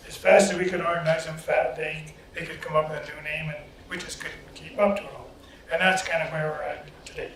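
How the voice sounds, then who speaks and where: Host heard from afar in echoey room. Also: male voice, fairly high pitch, level tone, a little fast, few pauses, a bit bright. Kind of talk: hosting a story circle. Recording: distant echoey room, faint noise.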